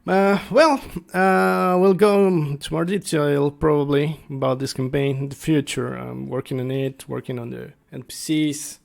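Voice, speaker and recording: Guy doing a casual gaming vlog, talks slow and kinda gravelly, keeps hunting for words. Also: fairly high pitch, fairly even intonation. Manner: halting, searching for words. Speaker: tabletop game master and video host. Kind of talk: casual unscripted explainer vlog.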